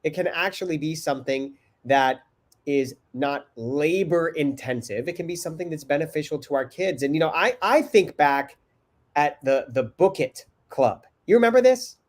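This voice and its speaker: A middle-aged man hosting a live video chat from home over a webcam, upbeat and energetic with many fillers, sounding a little congested. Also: on the high side, normal speed. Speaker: host. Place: home room indoors. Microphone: video call webcam.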